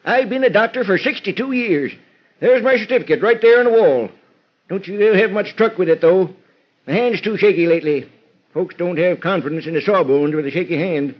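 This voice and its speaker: High old man's voice, sly rustic constable, combative, slangy, classic radio mystery, dull vintage sound. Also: brisk. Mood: proud, folksy. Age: older. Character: cantankerous, crafty old small-town country constable and doctor.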